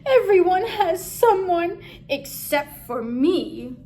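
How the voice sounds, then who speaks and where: A woman's voice: very high voice, sing-song, slow, a little mellow, voice pushed up, heavily acted. A woman voicing a lonely, grumpy male storybook duck with dramatic, plaintive exclamations. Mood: plaintive, self-pitying.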